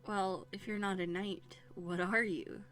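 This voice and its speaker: A woman's voice: mid-range voice, fairly even intonation, a little slow, a bit bright, soft background music, natural voice. A woman playing a haughty, wary young runaway princess in a scripted audio roleplay, speaking in prim, formal phrases with dramatic hesitations. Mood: skeptical, challenging. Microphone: close USB studio microphone.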